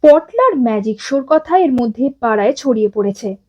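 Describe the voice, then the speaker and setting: A woman reading a story aloud as an expressive scripted narration. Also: on the high side, normal speed.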